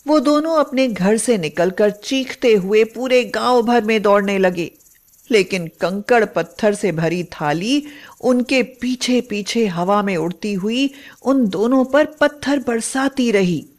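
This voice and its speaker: Very slow-paced woman storyteller. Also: a little high. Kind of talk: scripted Hindi moral story narration.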